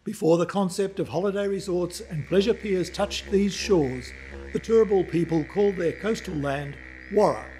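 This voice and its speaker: A man giving measured, scripted documentary narration. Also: high voice, dark tone, a little hiss or hum. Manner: measured, informative.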